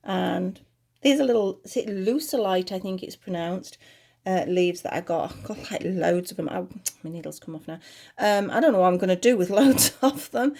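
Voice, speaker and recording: Lively, slightly croaky British woman. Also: medium pitch, moderate pace. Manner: friendly, rambling, talks while working. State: a little croaky. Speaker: crochet tutorial host. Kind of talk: chatty step-by-step tutorial.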